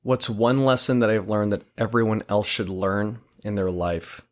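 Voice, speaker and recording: A man in his thirties from Hawaii, a guest on a podcast, telling his story in a relaxed, easygoing way. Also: on the low side, normal speed. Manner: relaxed storytelling, laughs easily. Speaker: guest, military lab technician. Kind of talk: casual interview.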